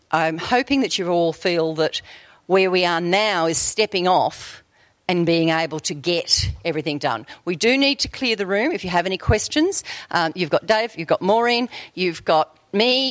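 A woman facilitating a workshop meeting in a meeting room, brisk and chatty, often checking in with the group. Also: on the low side, dry recording.

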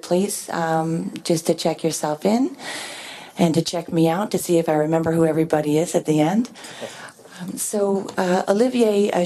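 A woman moderating a meeting session in a relaxed, chatty way, pausing often with ums and restarts. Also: fairly low pitch. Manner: hesitant, lots of ums and restarts. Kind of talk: casual meeting chat.

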